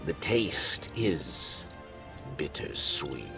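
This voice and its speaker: A man voicing a mournful, brooding alien elder in a video game cutscene, halting and deliberate. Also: very deep voice, very animated, exaggerated voice. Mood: mournful, bittersweet. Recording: distant voice under clear music.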